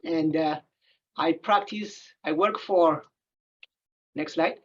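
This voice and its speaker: A man with an Ethiopian accent, whose first language is not English, presenting as an endocrinologist in a webinar, halting and often pausing with filler sounds. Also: fairly high pitch, highs cut off. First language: not English; English is his third language. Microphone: video call.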